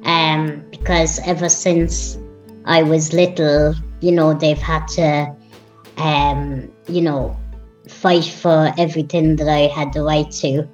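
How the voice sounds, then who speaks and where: Slow-talking low-voiced young Irish woman. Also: little pitch movement, lo-fi sound, faint music behind. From Ireland. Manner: unhurried, warm, with frequent ums. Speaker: guest. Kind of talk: casual interview conversation.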